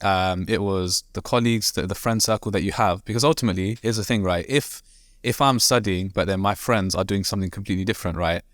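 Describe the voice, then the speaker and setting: A man from Devon, an imam, talking casually as a podcast guest in quick, rambling sentences full of fillers and restarts. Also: deep voice, a bit bright, no echo, clean studio sound. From Devon, England. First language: not Arabic. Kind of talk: casual conversational interview.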